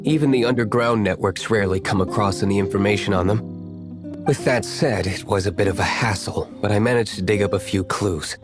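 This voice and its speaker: A man's voice: lowish voice, fairly quick, music in the background, natural voice. An actor playing a cool, aloof young nobleman in a video game, curt, dry and sardonic. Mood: businesslike. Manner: dry, deadpan.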